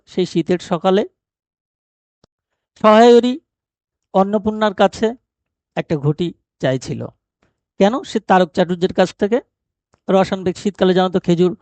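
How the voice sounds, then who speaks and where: High-pitched man teaching, dry sound. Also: a little mellow, no echo. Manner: didactic, asks and answers own rhetorical questions. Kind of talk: explanatory lesson retelling a short story.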